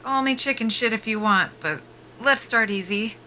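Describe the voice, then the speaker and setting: A woman's voice: fairly high pitch, normal speed, sharp tone, a little hiss or hum. A woman acting a nervy, foul-mouthed young soldier in a sci-fi audio drama, wry and defiant in a casual, joking way. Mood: wry, guarded. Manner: sardonic, casual, sweary, joking under nerves.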